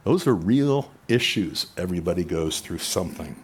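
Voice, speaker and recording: A man preaching a sermon in a conversational way, rising in pitch and volume for emphasis. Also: animated, moderate pace, husky. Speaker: pastor.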